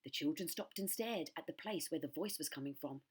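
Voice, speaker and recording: A woman's voice: low-pitched, fairly quick, breathy edge. A woman reading a children's story aloud as a primary school storyteller.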